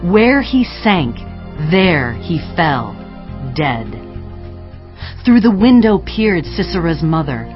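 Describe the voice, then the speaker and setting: Slow, expressive woman reciting scripture. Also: fairly low pitch. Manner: poetic, expressive. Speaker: narrator. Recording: dull lo-fi audio, faint music. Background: music.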